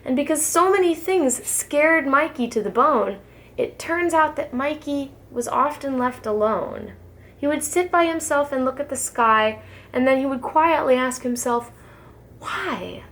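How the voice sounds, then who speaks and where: A woman, a preschool teacher, reads a children's storybook aloud in an expressive, sing-song rhyming delivery. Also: high voice, unhurried.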